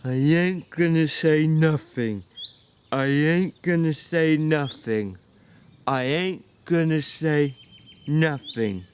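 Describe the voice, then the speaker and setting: A man voicing a simple-minded, childlike man in a story reading, eager and forgetful, with a halting, stammering delivery. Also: fairly high pitch, slight background noise. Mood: slow, droning.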